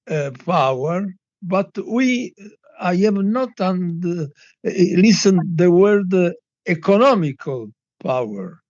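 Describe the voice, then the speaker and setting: A man putting an unscripted question at a symposium, in halting, word-by-word non-native English. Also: high voice, a little mellow, no echo. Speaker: symposium participant. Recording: dull, dry lo-fi microphone sound.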